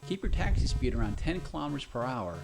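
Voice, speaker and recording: Male tutorial narrator, animated delivery, scripted instructions over game music. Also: medium pitch, fast talker, gravelly. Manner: calm, step-by-step instructions. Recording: game audio with music behind.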